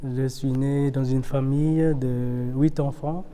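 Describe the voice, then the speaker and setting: Monk from Vietnam, halting and slow, very mellow tone, long reverb around him. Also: male voice, medium pitch, fairly even intonation, clear, resonant tone. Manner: hesitant, many fillers and restarts. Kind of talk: documentary interview.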